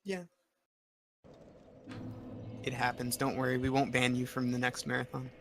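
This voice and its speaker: A man co-commentating a speedrun marathon, chatting in a dry, teasing, low-key way. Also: monotone, moderate pace, noisy background. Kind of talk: casual commentary chat.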